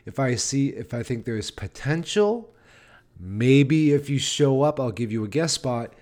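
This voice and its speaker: A man's voice: medium pitch, animated, a little slow, darkish tone. An American man hosting a podcast solo, chatting in an informal, rambling and self-deprecating way. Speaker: podcast host and stand-up comedian. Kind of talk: casual solo podcast chat.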